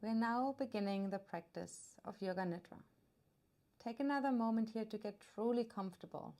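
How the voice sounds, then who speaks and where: A woman guiding a meditation as a yoga nidra teacher, soothing and unhurried, leaving long pauses between her phrases. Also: fairly high pitch, warm tone. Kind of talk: guided meditation narration.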